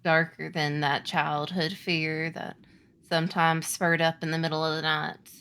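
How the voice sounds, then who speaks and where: A woman's voice: low-pitched, flat delivery, measured pace, fairly bright tone, clean tone. A woman acting as game master, narrating and running a tabletop horror game for her players.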